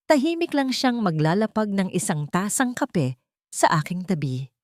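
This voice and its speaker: A woman's voice: mid-range voice, very animated, normal speed, a little mellow, no echo, clean studio sound. A woman with a Filipino accent narrating a scripted, dramatic first-person story in the manner of an audiobook.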